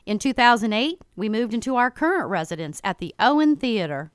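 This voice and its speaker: Clear, animated woman announcer. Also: fairly high pitch, a little fast, dry recording, studio quality. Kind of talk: scripted promotional narration.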